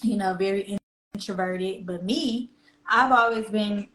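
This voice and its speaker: A woman's voice: mid-range voice, unhurried, a bit bright. A woman with a Southern American accent, chatting candidly as an interview guest and laughing often. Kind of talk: casual interview chat. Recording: echoey room with faint hum.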